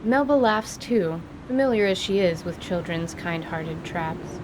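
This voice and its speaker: A woman's voice: lowish voice, expressive, measured pace, noisy background. A woman reading scripted fantasy story narration for an audio drama.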